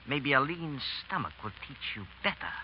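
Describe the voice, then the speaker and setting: Irate rich publisher, blustering, very animated, husky, vintage radio drama, dull reverberant sound. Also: male voice, mid-range voice. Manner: blustering, scolding. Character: irate, scornful fat rich French publisher boss. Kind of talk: 1930s radio drama acting.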